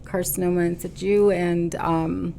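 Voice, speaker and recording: A woman with a low voice and a gravelly, creaky edge talks as a podcast guest. She tells her story in an earnest, halting way, full of "um" and "you know." There is faint noise under her voice. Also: flat delivery, mellow. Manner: stubborn, determined. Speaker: podcast guest, boutique owner. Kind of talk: casual conversational interview.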